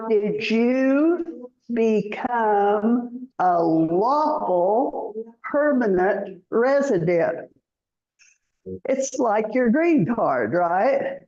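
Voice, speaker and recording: A woman teaching a citizenship class, speaking slowly and clearly and drilling words for students to repeat. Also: on the high side, lively intonation, darkish tone. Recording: roomy, muffled classroom audio.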